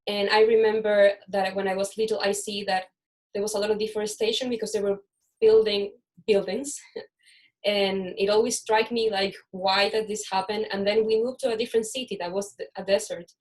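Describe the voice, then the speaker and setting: A woman's voice: medium pitch, flat delivery, a little fast, darkish tone, poor audio. Brief: woman from Chile, host of a casual video-call panel, chatty, mid-range voice, restarts sentences. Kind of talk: casual online panel chat.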